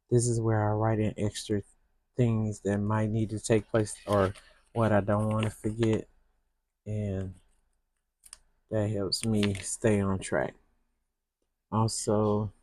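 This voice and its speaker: A woman's voice: very deep voice, a little hiss or hum. A woman from Michigan with a Southern US accent, rambling in a casual way as she decorates her planner. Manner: slow, soft-spoken, rambling with restarts. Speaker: planner vlogger.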